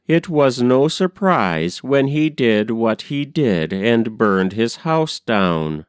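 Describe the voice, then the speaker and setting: A man reading narrative poetry aloud in a measured, deliberate storytelling way. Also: mid-range voice, smooth, no room sound, clean studio sound. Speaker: poetry reader. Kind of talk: measured literary poem recitation.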